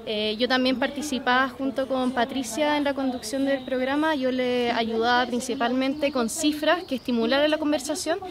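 A woman's voice: high-pitched, fairly even intonation, normal speed, few pauses. A woman in her twenties with a Chilean accent, explaining her work on a programme in an interview. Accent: Chilean Spanish. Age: 20s. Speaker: programme content producer and co-host. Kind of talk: documentary interview testimony. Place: garden outdoors, seated interview.

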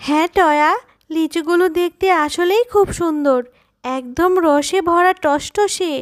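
Woman voicing a very high-pitched, gentle cartoon mother. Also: a little slow, no echo, clean studio sound. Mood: apologetic, coaxing. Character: poor widowed fox mother, gentle but worried. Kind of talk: Bengali children's cartoon dubbing.

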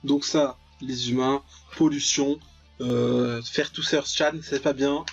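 A man's voice: mid-range voice, fairly even intonation, slow, creaky, husky. A man giving casual, joking gameplay commentary as the host of a gaming video. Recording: dull, lo-fi mic, music behind. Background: game music.

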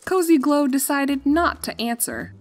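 A woman's voice: high-pitched, big pitch swings, a little slow, soft background music. A woman narrator reading a scripted story.

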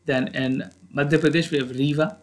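A man with an Indian accent teaching a civil services news analysis lesson, switching between English and quick Hindi asides. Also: a little high, steady tone. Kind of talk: news analysis lecture over slides. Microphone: voice-over recorded over slides.

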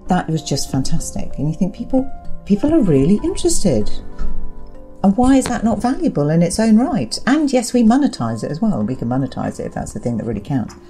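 A woman's voice: lowish voice, wildly swooping pitch, a little fast, dark tone, faint music behind. A woman giving explanatory interview answers as an academic guest, articulate and emphatic, building points through repetition.

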